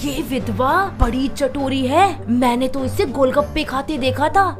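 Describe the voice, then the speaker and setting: A woman's voice: sits high, unhurried, music in the background. A woman voicing a judgmental, tattling woman from a crowd in a dramatized story. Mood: gossipy, disapproving. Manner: tattling, disapproving.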